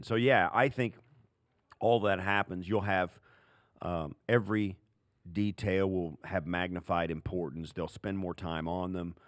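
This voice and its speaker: An American man with a low voice breaks down football as a podcast co-host. He talks casually and haltingly, stacking example on example, in a dry recording. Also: no room sound. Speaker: sports analyst, podcast co-host.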